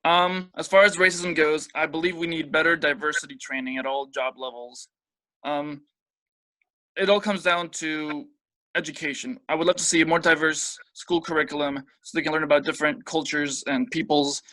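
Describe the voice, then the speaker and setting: Bright-toned hesitant man. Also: fairly high pitch, fairly even intonation, normal speed, dry recording, rough recording. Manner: hesitant, earnest. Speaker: mayoral candidate. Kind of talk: forum answer over video call. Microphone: video call.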